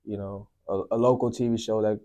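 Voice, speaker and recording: A young man from Sri Lanka, a guest telling his life story in a soft, unhurried way, often filling with "you know, man". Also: fairly low pitch, fairly even intonation. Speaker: guest sharing testimony. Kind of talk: personal testimony, casual storytelling.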